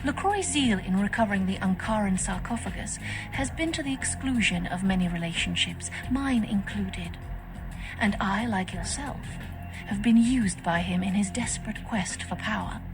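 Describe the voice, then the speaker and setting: A woman's voice: medium pitch, close to an everyday voice, low-quality recording. An actress playing a composed, scheming female vampire faction leader in a video game, speaking formally. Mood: composed, confiding. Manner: formal, measured, stately. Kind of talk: video game dialogue acting. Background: music.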